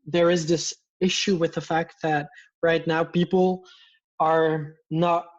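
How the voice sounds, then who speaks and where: A man's voice: a little high, level tone, slow pace. A man in his thirties from Belgium, a native Dutch speaker with a Belgian Dutch accent, talking enthusiastically as a guest over a video call. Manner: enthusiastic, talks with his hands. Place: home room with plants and posters. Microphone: headset headphones on video call. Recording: muffled lo-fi video call audio.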